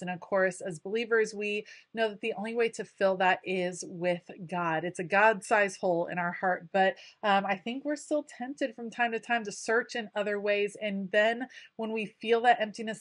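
A woman's voice: medium pitch, no echo. A woman talking casually over her craft footage, chatty and enthusiastic with frequent ums. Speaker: craft video creator.

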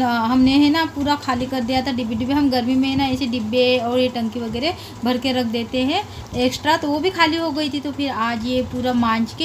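A woman's voice: high-pitched, slight background noise. A middle-aged woman chatting casually through a home vlog, homely and hesitant, full of fillers and restarts. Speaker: home vlogger. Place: home and courtyard, indoors and outdoors.

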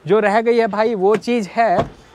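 High-voiced young man showing cars. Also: fairly even intonation, takes it slowly, a little mellow, slight background noise. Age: young adult. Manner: chatty, mixes English phrases, addresses viewers as brothers. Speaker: car vlogger host. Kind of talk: casual walkaround car showcase. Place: outdoor used-car lot. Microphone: clip-on lapel mic.

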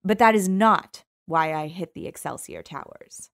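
A woman's voice: on the low side, animated, unhurried, creaky, fairly bright tone. A woman narrating a first-person audiobook in a wry, sultry storytelling manner.

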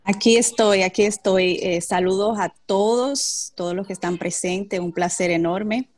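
A woman's voice: medium pitch, unhurried, a little mellow. A woman real estate agent introducing herself on a video call, with many hesitation fillers. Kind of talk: casual self-introduction.

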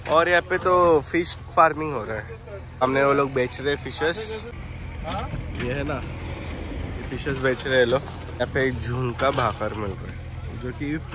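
A young man, a travel vlogger, chatting excitedly to his phone camera as he shows a temple and a dam. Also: medium pitch. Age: young adult. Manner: excited, chatty tour-guide talk mixing Hindi and English. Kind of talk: casual travel vlog narration. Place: outdoors at a temple and a dam, and inside a temple hall. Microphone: phone held at arm's length. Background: background music.

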